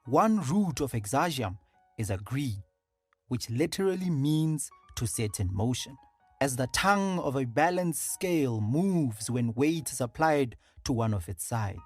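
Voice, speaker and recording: A narrator reading an audiobook in a measured, deliberate and formal way. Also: medium pitch, lively intonation, breathy edge, soft background music.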